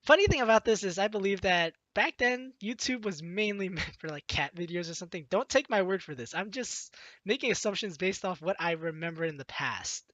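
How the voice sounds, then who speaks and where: A woman's voice: mid-range voice, lively intonation, brisk, a bit bright, dry recording, highs cut off. A woman let's player reading a game's story aloud expressively and adding playful, casual commentary.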